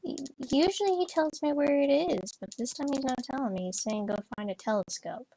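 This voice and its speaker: A woman's voice: sits high, animated, fairly quick, warm tone, a little hiss or hum, low-quality recording. A young woman chatting casually while playing a horse game, rambling with lots of fillers and restarts. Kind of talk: casual gameplay chat. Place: bedroom, on a bed.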